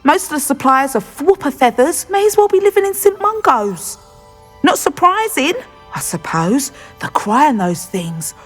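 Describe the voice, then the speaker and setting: A woman's voice: sits high, sing-song, normal speed. An actress playing a chatty, gossipy female shopkeeper of magical beast goods in a video game. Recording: long reverb, faint music behind.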